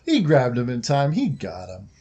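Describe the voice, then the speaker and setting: A woman's voice: extremely low pitch, huge pitch swings, moderate pace, noisy background. A woman giving casual commentary as she plays through a video game. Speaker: gaming streamer.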